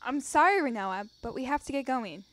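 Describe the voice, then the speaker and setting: A woman's voice: high voice, animated, brisk, fairly bright tone. A woman acting a stern, businesslike young military instructor in a video game fan dub, firm and scolding.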